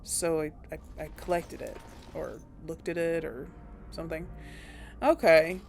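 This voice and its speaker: A woman's voice: deep voice, measured pace, gravelly, darkish tone, soft background music. A young woman chatting casually through a video game, thinking aloud and correcting herself as she goes. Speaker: let's play host. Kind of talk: casual gameplay commentary.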